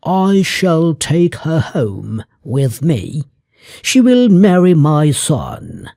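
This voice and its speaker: A narrator playing a smug mother toad from a storybook. She speaks very slowly, with big swings of pitch and a scheming, self-satisfied manner. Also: wildly swooping pitch, creaky, warm tone, no room sound, studio quality, heavily acted.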